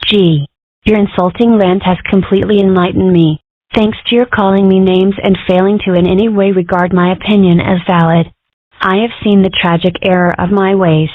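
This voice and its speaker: A sardonic, brash young cartoon fox girl, played by a woman. She speaks with dry sarcasm at a middling pitch. The audio is tinny and dry, as if through a phone. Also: fairly even intonation, moderate pace, no echo, close to an everyday voice. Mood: sarcastic. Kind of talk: comic strip dub acting.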